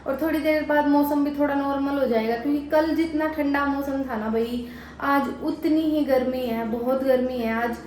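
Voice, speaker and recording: A woman's voice: high-pitched, steady tone, normal speed, a little mellow, reverberant, a little hiss or hum, poor audio. A woman vlogging about her day in a chatty, easygoing way. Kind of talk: vlog narration.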